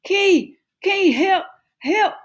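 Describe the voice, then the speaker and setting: A woman's voice: very high-pitched, expressive, extremely slow, voice pushed up, heavily acted. A woman voicing a panicked, tearful teenage boy in a storybook, mumbling and pleading for help. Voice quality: muffled, mumbling as if mouth is full. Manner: wailing, pleading.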